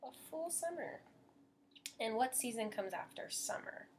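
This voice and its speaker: A woman's voice: a little high, expressive, measured pace, slight background noise. An American woman teaching young children as she reads a picture book aloud, explaining simply and putting questions to her listeners.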